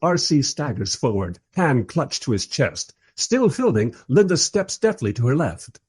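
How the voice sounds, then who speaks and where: A man plays a wry observer narrating a radio play. His voice is husky and mid-pitched, moving in lively swoops through dry, literary storytelling. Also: unhurried. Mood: dryly amused. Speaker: first-person narrator.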